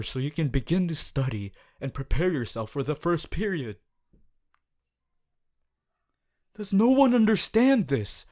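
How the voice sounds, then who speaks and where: A man's voice: on the high side, darkish tone. A man voicing a strict, earnest teenage class president, formal and preachy, in an audio roleplay. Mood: indignant. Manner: formal, preachy, exasperated, stiff phrasing. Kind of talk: ASMR character audio roleplay monologue.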